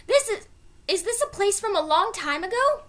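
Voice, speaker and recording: Woman voicing an eager, high-pitched young storybook girl. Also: normal speed. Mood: curious. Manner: insistent, excitable. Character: eager, stubborn, fearless young girl adventurer. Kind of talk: children's storybook character voice.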